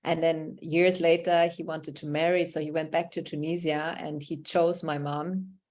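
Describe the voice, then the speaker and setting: A woman's voice: deep voice, level tone, moderate pace, darkish tone, slight background noise. A woman from Germany, leading an online workshop in a conversational way and reading out participants' answers from the chat. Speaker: workshop facilitator.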